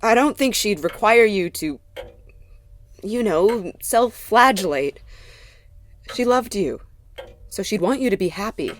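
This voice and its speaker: An actress playing a wry, guarded woman in a naturalistic audio drama, talking haltingly and gently. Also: medium pitch, expressive, a little hiss or hum, close to an everyday voice. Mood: gentle, careful. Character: Sophie, warm, wry, guarded woman mechanic.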